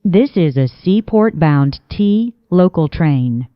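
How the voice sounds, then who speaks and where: A woman reading scripted train announcements in a formal, measured, segmented way as a transit announcer. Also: fairly low pitch, expressive, no room sound, dull audio.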